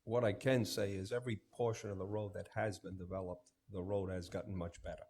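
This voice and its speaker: Low husky man chairing a meeting. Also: level tone, fairly quick, a little mellow. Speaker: board chair and public works director.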